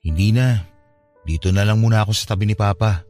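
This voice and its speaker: An actor playing a protective, dutiful young son with a wounded side, in a radio drama. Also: male voice, on the low side, a little fast, a little mellow, faint music behind, close to an everyday voice. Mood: weary, sad. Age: young adult.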